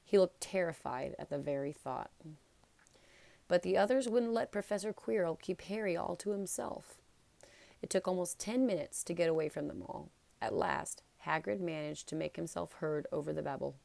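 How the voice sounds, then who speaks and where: Low, creaky-voiced woman reading a story. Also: moderate pace, dark tone, no echo. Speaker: storybook narrator. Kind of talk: read-aloud narration of a children's novel.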